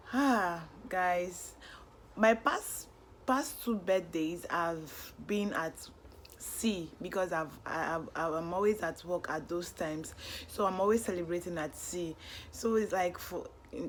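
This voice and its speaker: A woman's voice: fairly low pitch, slight background noise. A young woman with a Nigerian accent chatting casually to her vlog viewers, upbeat and talkative. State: hoarse, lost voice, tired. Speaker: vlogger. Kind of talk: casual vlog chat to camera. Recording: music playing under the voice.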